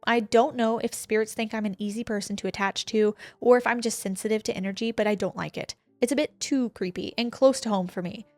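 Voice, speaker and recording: A woman co-hosting a paranormal podcast, reading a listener's ghost story aloud with expressive emphasis. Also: mid-range voice, fairly quick, hardly any pauses, no room sound, faint music behind. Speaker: podcast co-host.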